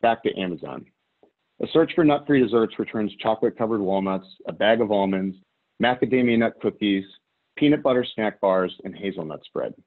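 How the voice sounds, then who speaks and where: A man from the Chicago area giving a scripted business presentation, with measured, emphatic delivery. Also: on the low side, expressive. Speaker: webinar presenter. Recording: phone-like narrow band audio.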